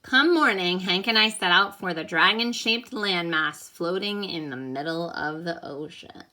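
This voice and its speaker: A woman teacher reading a children's story aloud in an expressive, animated way, as if to young listeners. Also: lowish voice. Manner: animated, pausing to ask listeners questions. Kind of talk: expressive storybook read-aloud with think-aloud questions.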